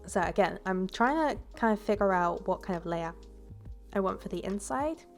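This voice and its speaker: Woman narrating her build video, a bit congested, with a gravelly edge and an easygoing, rambling delivery. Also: mid-range voice, lively intonation, normal speed, faint music behind. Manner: casual and rambling, with fillers and self-corrections. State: stuffy from a cold. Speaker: game builder and YouTuber. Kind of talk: relaxed voice-over commentary on a building video.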